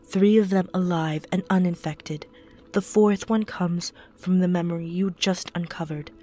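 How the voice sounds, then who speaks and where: A woman's voice: on the low side, steady tone, unhurried. A woman voicing a mysterious female spirit guide in a fan audio drama, speaking in solemn rhymes. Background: soft music.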